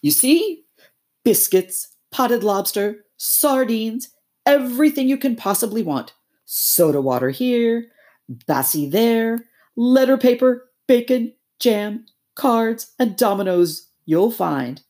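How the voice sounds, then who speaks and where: A woman voicing a boastful, excitable male toad who gushes and wheedles in a storybook reading. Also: a little high, takes it slowly, pitched above the performer's own voice. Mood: proud. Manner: gushing, exclamatory, wheedling.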